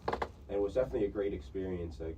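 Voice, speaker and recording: A man's voice: low-pitched, racing, far from the mic, background noise. A young man, a student guest on a campus radio show, answering plainly and a bit hesitantly. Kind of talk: casual radio interview.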